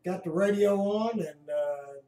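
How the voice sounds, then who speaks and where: A man with an American accent giving casual, halting live commentary as he watches and narrates a screen. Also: high voice, warm tone. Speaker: amateur storm-watcher narrating a screen recording. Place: indoors at home by a computer. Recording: roomy echo.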